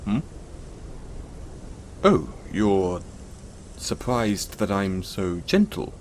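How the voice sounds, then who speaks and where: A man playing a gentle, caring, self-conscious centaur in an intimate audio roleplay, speaking in a soothing, hesitant, apologetic way. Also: low voice, very animated, very slow, slight background noise, full character voice. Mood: gentle, wistful.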